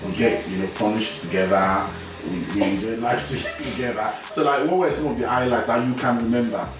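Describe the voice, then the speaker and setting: A man's voice: medium pitch, brisk. Male podcast host, playful and laughing, distant mic in a very echoey room, music behind. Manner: playful, laughs often, teasing. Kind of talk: casual interview chat.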